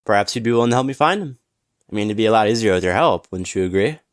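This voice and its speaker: A man playing a smug, flirtatious businessman in an audio roleplay, teasing and smooth-talking with mock politeness. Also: on the low side, lively intonation, rapid-fire, no echo, studio quality, close to an everyday voice. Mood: flirtatious, playful. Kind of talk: scripted audio roleplay acting.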